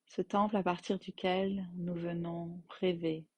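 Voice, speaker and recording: A woman guiding a yoga nidra relaxation as its teacher, in gentle, unhurried phrases with long pauses. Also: lowish voice, little pitch movement, slightly rough, no room sound. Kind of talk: guided meditation, slow soothing instruction.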